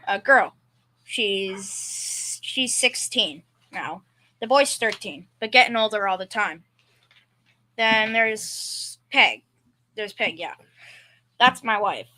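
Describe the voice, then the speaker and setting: A woman playing a good-natured, plain-spoken middle-aged father in an amateur old-time radio play, with a halting, folksy delivery. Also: medium pitch, sharp tone. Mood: chatty, proud. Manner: folksy, halting, repeats words. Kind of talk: old-time radio comedy-drama acting, amateur school performance.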